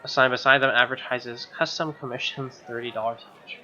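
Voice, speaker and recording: A man's voice: medium pitch, fairly even intonation, brisk, sharp tone, a faint crowd, slight background noise. A man reading a visual novel aloud as a let's play host, with wry, joking casual asides. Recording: dull lo-fi sound, music behind.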